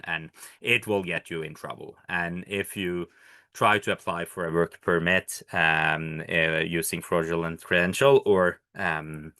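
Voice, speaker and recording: A man's voice: low voice, pushed and bright, no room sound, studio quality. A Norwegian man with a Norwegian accent, explaining things casually and hesitantly, with many fillers and restarts. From Norway. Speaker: healthcare educator.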